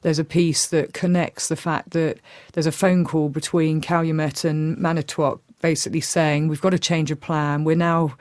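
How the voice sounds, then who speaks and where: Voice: medium pitch, flat delivery, normal speed, hardly any pauses, no room sound, studio quality. A podcast co-host talking through a case in a thoughtful, hedging way, with many fillers. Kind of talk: casual analytical discussion.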